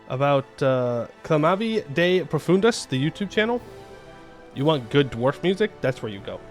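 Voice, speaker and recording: A man chatting casually on a game livestream, reading and answering viewer comments in a playful, joking way and often repeating his phrases. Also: on the high side. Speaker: game streamer.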